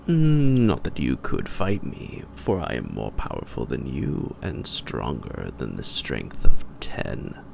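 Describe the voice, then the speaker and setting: A man playing a suave, menacing vampire in a roleplay audio drama, with theatrical, taunting, old-fashioned formal speech and a gravelly, breathy voice. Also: very deep voice, wildly swooping pitch, slow pace, hardly any pauses, darkish tone, slight background noise, full character voice. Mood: hungry, threatening. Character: suave, menacing male vampire, gentlemanly and sadistic predator.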